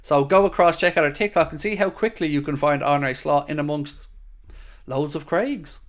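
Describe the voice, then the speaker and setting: A man's voice: a little high, normal speed. Chatty upbeat man streaming. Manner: chatty and upbeat, reads questions aloud then answers. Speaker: host of a football fan channel. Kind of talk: live-stream chat answering viewer questions.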